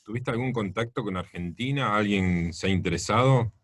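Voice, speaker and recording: A man's voice: on the low side, a little fast, dry recording. A man hosting a radio interview, asking brief questions. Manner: brief questions and short agreements. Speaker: radio host.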